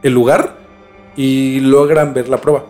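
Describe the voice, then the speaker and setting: A man's voice: mid-range voice, flat delivery, unhurried, a little mellow, faint music behind. A man with a Mexican accent explaining things in casual conversation as a podcast co-host, informally and repeating words for emphasis.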